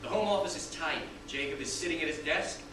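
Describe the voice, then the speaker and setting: A man's voice: on the high side, quick pace. A man reading scripted scene directions as narrator, recorded at a distance in a very echoey, noisy room.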